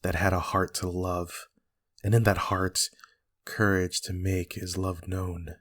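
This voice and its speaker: Man acting a brooding, slow-spoken Shakespearean usurper. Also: deep voice, little pitch movement, a bit bright. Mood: impassioned self-defence. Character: guilty usurper Macbeth feigning grief, brooding. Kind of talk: amateur dramatic reading of Shakespeare.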